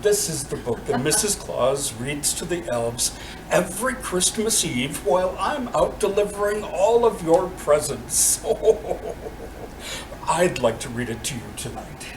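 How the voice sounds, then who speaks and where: A man's voice: on the high side, lively intonation, slow pace. A man playing a jolly old Santa Claus, reading a picture book aloud in a theatrical, chuckling way. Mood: warm, chuckling. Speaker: storyteller. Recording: echoey room, distant mic, clear noise.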